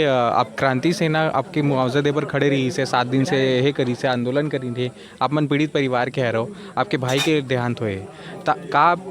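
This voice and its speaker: A man with a Chhattisgarhi accent conducting a field news interview as a reporter in colloquial speech. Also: medium pitch.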